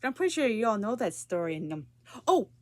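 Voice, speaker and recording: A woman hosting a story time series, introducing it in an informal, halting way. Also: medium pitch, sing-song, a little mellow, no room sound. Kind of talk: casual introduction to viewers.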